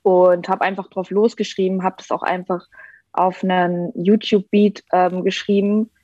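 A young woman from Berlin takes part in an interview over a video call, her voice lowish and a little muffled. She answers thoughtfully and often pauses on small fillers as she searches for words. The audio is dry and dull, like lo-fi call sound. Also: flat delivery, mellow, no room sound. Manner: thoughtful, many ähm fillers. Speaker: rapper, interview guest. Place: joining remotely.

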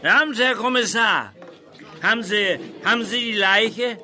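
An actor playing a meddlesome, querulous drunken pub regular in an audio drama, stammering and repeating himself. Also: male voice, high-pitched, moderate pace, bright, forceful tone. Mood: nosy, querulous. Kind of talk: German audio drama acting.

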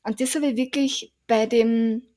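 A woman with an Austrian German dialect, a guest on a podcast, telling stories in a lively, chatty way with soft asides. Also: on the high side, unhurried. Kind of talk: casual interview chat.

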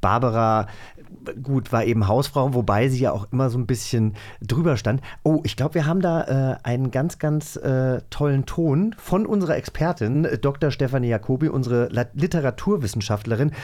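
A man's voice: mid-range voice, normal speed, a little mellow. A man chatting casually as the co-host of a podcast. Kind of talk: casual conversational chat.

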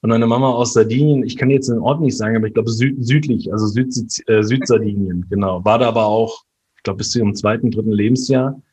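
A man's voice: fairly low pitch, fairly even intonation, quick pace, darkish tone. A man from Germany, a guest in a casual interview, talking hesitantly with many fillers and tag questions.

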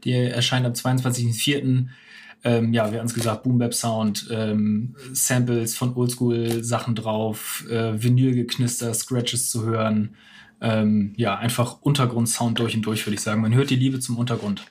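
A man's voice: medium pitch, flat delivery, moderate pace, a little mellow, a little hiss or hum. A man co-hosting a music show, presenting songs in informal commentary. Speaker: co-host presenting new songs.

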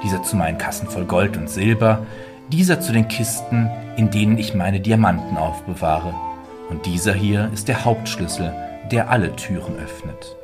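A man playing a stern, commanding rich husband and villain in a fairy tale, speaking formally and deliberately. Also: low-pitched, lightly acted. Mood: formal, composed. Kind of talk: dramatic fairy-tale reading. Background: music.

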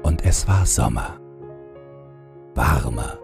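A man narrating a fairy tale as a bedtime audiobook, in a gentle, soothing and deliberate storytelling style. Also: rumbling low, expressive, gravelly, over music.

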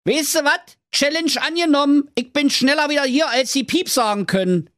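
A man's voice: very high-pitched, moderate pace, fairly bright tone, dry recording, studio quality, pitched above the performer's own voice. A man voicing a cocky, cheerful young fellow with a Berlin accent, full of slang. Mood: cheeky.

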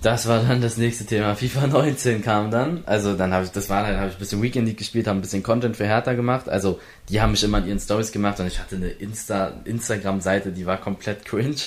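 A young man from Berlin with a lowish voice tells how his esports career began. His talk rambles and restarts often, and he slips into quick impressions of the people he met. The feel is a casual, loose interview. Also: steady tone. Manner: rambling, many restarts, acts out others' lines. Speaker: esports player.

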